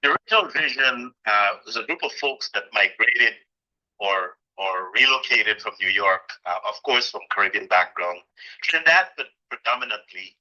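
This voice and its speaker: A middle-aged man from Guyana with a bright, forceful, rather low voice. He talks haltingly, full of "uh" and "um" and restarts. The sound is dull and lo-fi. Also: lively intonation. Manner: hesitant, many fillers and restarts. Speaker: guest, president of a community association. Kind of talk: interview answers.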